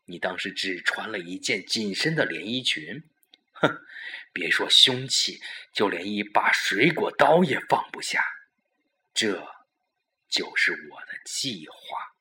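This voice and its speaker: A man playing a scheming, excited lover who lays out a murder plan in a commanding, emphatic way for an audio drama. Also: lowish voice, unhurried, exaggerated voice.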